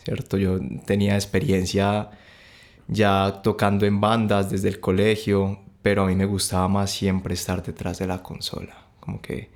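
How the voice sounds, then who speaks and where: A young man with a Bogotá Colombian accent, telling his story as a podcast guest in a halting, relaxed way with many restarts. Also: sits low.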